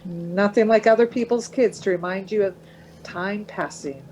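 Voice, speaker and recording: A woman's voice: mid-range voice, normal speed, darkish tone, a little hiss or hum, close to an everyday voice, poor audio. An actress playing a wry, anxious middle-aged mother of teenagers in a radio play. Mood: wry, weary.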